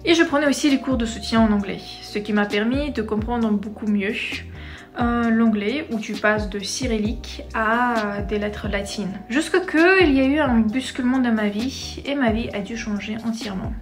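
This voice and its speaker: Lively young woman, high-pitched voice. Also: moderate pace, faint music behind. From Crimea, Ukraine. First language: Crimean Tatar. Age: 20s. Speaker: vlogger. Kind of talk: personal storytelling to camera.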